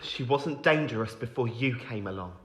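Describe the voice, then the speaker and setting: A man's voice: medium pitch, expressive, moderate pace, fairly bright tone, lightly acted. A man playing a young, emotional, protective father-to-be in an audio drama, stumbling over his words in a roomy space. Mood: indignant, hurt. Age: young adult. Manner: emotional, stammering. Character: young father-to-be, shocked, indignant, protective of friends. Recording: roomy, echoing sound.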